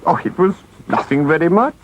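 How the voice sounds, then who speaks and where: A man's voice: high voice, expressive, unhurried, a little hiss or hum, pitched above the performer's own voice. A man voicing a boastful, self-pitying toad in a storybook, with a melodramatic, wheedling manner. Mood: sheepish excusing.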